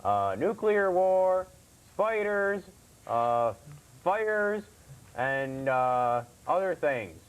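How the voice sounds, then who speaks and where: A man hosting a comedy puppet segment, talking haltingly as he banters and tries to keep a straight face. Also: on the high side, big pitch swings. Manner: halting, breaks into chuckles. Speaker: host. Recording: noisy amateur TV recording.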